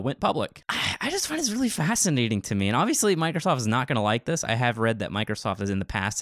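A man's voice: lowish voice, no echo, clean studio sound. A man co-hosting a podcast in casual tech discussion, talking in a rambling, opinionated way. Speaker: podcast co-host and web developer.

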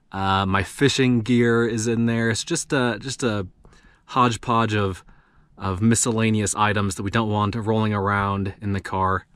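A man in his thirties talks through his camping gear in a loose, rambling way, stopping and restarting as he goes. His voice sits fairly low. The sound is dry and close, recorded inside a parked SUV on a handheld camera. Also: no room sound. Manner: relaxed, rambling, with many fillers and restarts. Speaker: vlogger showing his camping setup. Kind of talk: casual walkthrough. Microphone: action camera held in hand.

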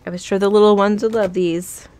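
A woman's voice: mid-range voice, fairly even intonation, brisk, mellow. A woman vlogging as she walks through a store, chatting upbeat and reading out prices on a handheld phone. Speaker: shopping vlogger. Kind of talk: casual shop-with-me narration. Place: inside a large retail store.

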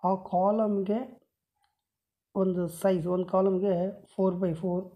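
A woman's voice: mid-range voice, flat delivery, unhurried, warm tone. A woman explaining a house floor plan step by step, pointing with a pen at paper drawings on a table, recorded on a phone held over the page. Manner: plain step-by-step explanation, many measurements.

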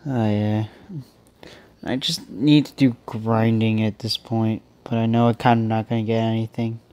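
A man's voice: on the low side, level tone, moderate pace, dark tone. Young man with a stuffy nose rambling over gameplay. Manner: rambling, thinking aloud with many restarts. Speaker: gaming channel host. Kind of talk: casual gameplay commentary.

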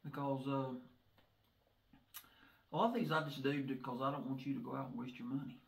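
A man's voice: medium pitch, steady tone, a little fast, creaky, recorded from a distance. A man in his fifties with a Southern US accent, chatting casually as co-host of a beer review. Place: small indoor room, seated at a table. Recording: roomy echo.

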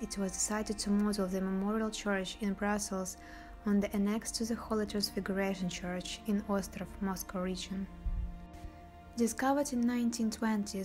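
A woman narrates a documentary in a steady, measured reading style. Her voice sits at an ordinary middle pitch. Soft music plays faintly beneath her studio voice-over.

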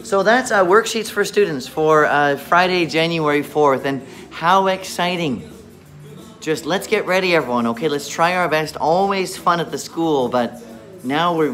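A middle-aged man teaching young students on camera, encouraging and enunciating deliberately, his voice slightly muffled by a face mask, recorded in a classroom at arm's length. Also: a little high, fairly bright tone, soft background music. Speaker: children's English teacher. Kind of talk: talking young students through worksheets on camera. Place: indoor classroom. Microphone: phone or camera at arm's length.

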